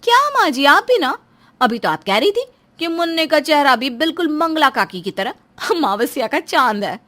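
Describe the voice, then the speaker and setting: A woman's voice: high-pitched, big pitch swings, moderate pace, bright, forceful tone, heavily acted. A woman voicing an innocently tactless, cheeky young daughter-in-law in a cartoon moral story, chatty and outspoken. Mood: blithely tactless.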